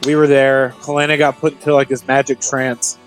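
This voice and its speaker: A man's voice: mid-range voice, little pitch movement, brisk, rough recording. Guy playing tabletop rpg, chatting and recapping, bit of music in the back. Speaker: player. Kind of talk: casual tabletop roleplay and recap.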